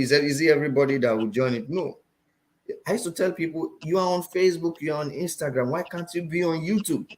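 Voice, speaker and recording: Nigerian man, interview guest, finance teacher, fairly high voice, animated and repetitive. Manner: animated, repeats phrases for emphasis. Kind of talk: interview talk.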